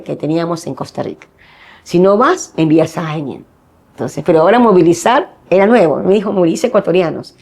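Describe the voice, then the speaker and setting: Middle-aged woman from ecuador, low raspy-fry voice, tells her story earnestly, acts out what people said. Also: darkish tone. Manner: earnest storytelling, acting out quoted dialogue. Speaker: missionary guest. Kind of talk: personal testimony in interview.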